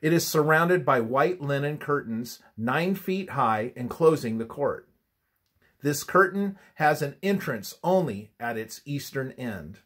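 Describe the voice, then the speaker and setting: A man reading a scripted religious study in a measured, instructive manner, his phrases often trailing off softly at the ends. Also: mid-range voice.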